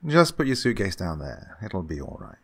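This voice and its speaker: An actor playing an unctuous, scheming gentleman employer who feigns kindly absent-mindedness, fussy and repetitive, in an old-time radio horror drama style. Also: deep voice, very animated, normal speed, a little hiss or hum. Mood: fussily welcoming. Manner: fussy, hesitant, repeats himself, chuckling.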